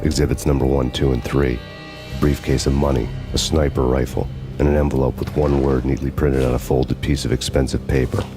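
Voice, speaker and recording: A grim, world-weary ex-cop for a game's noir cutscenes. His voice sits extremely low and barely moves in pitch. He talks deadpan, with dry sarcastic wit. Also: fairly quick. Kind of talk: noir game narration and dialogue. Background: music.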